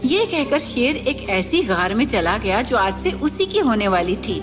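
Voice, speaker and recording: A woman narrates a children's story in scripted style. Her voice is a little high and very animated in pitch, with music clearly playing behind. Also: a little slow.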